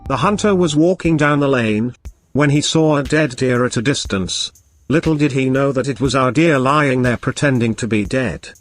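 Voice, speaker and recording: A man's voice: medium pitch, normal speed, no room sound. A man narrating a scripted children's moral story as storyteller.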